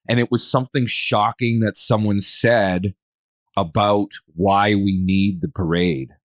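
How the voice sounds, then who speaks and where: A man's voice: lowish voice, fairly even intonation, unhurried, dry recording, clean studio sound. A man in a casual podcast discussion, speaking earnestly and rephrasing as he searches for words.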